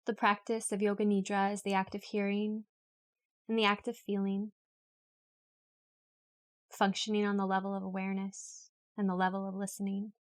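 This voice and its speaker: A woman reading a guided yoga nidra meditation in a calm, unhurried, instructional way. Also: medium pitch. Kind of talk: guided meditation, read from a script.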